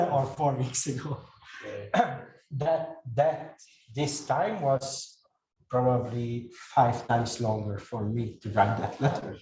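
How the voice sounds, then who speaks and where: A man whose first language is Hungarian gives a talk to a live audience, speaking slowly with many fillers and restarts. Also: medium pitch, gravelly, darkish tone. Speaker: speaker giving a talk on AI tools. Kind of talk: presentation to an audience. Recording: echoey room, faint noise.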